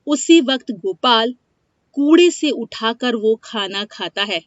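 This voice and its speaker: A woman's voice: on the high side, expressive, slow pace, no echo. A woman reading a scripted moral story as its narrator. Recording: dry, dull lo-fi studio sound.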